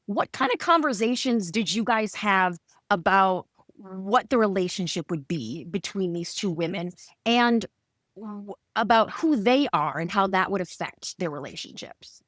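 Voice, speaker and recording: A woman's voice: medium pitch, animated, measured pace, fairly bright tone, dry recording, clean studio sound. A woman hosting a casual podcast interview in a chatty, enthusiastic way. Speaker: podcast host interviewing show creators.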